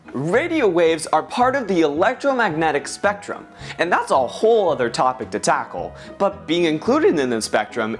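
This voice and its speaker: A young American man presenting a scripted explainer in an upbeat, expressive way. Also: on the high side. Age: young adult. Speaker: science video presenter. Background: background music.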